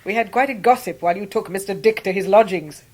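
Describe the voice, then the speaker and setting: An actress playing a brisk, blunt but fond elderly English great-aunt in a period radio drama. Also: female voice, mid-range voice, darkish tone, natural voice. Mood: brisk gossip.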